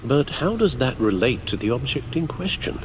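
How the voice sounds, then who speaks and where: Man acting an older game butler, courteous, wry, formal, measured, animated intonation, mid-pitched, noisy background. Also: natural voice. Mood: wary, wry.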